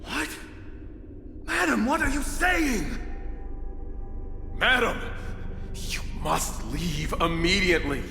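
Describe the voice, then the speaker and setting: High, urgent soldier, echoing. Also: male voice, very animated, takes it slowly, vocal fry, long pauses, pushed and bright, slight background noise, full character voice. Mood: urgent, alarmed. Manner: urgent, deferential, begging. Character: loyal, alarmed soldier urging his ruler to flee. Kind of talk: video game story voice acting. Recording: echoey reverb with faint music.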